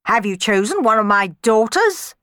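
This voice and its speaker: A woman's voice: on the high side, sing-song, normal speed, fairly bright tone, dry recording, clean studio sound, voice pushed up. A woman storyteller voicing a blustering, snobbish older baron who splutters, snarls and gives orders. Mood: pompous, hopeful.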